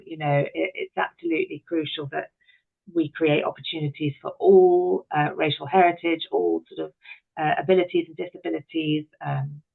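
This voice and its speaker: A woman's voice: low-pitched, creaky. A middle-aged woman speaking as an interview guest, reflective, articulate and emphatic, on muffled call audio.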